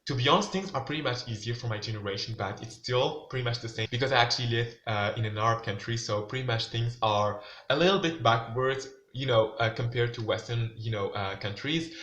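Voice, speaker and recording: A young man, speaking in a mid-pitched voice and rambling loosely, full of "like" and "you know." The sound is roomy and a bit dull, as if recorded lo-fi in an echoey room. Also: a little fast, few pauses, fairly bright tone. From Tunisia. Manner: loose, filler-heavy talk, "like" and "you know what I'm saying" everywhere. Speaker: vlogger. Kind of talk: casual monologue to camera.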